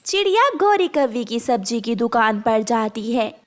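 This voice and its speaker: A woman's voice: on the high side, big pitch swings, unhurried. A woman storyteller reading scripted narration for a children's cartoon tale.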